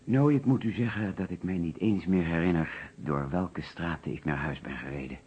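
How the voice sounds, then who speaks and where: Deep-voiced, anxious Dutch businessman, muffled recording. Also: moderate pace, darkish tone, slightly rough. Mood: subdued, recollecting. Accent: Netherlands Dutch. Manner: hesitant, muttering to himself. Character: anxious middle-class businessman recounting finding a murdered man. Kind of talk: radio play acting with first-person narration. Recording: muffled, noisy old radio play recording.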